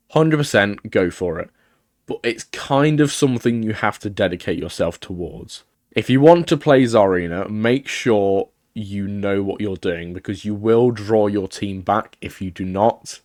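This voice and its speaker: A young man with a croaky voice from a cold, giving casual, opinionated gaming commentary. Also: fairly low pitch, no room sound, studio quality. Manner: chatty, opinionated, thinking aloud. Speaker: gaming video creator. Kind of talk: casual tier-list commentary.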